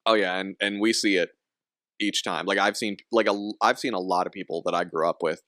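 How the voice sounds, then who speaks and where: A man's voice: sits low, steady tone, fairly quick, fairly bright tone, no room sound, studio quality. A young man hosting a casual podcast, rambling with frequent laughs and restarts. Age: young adult. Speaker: podcast host.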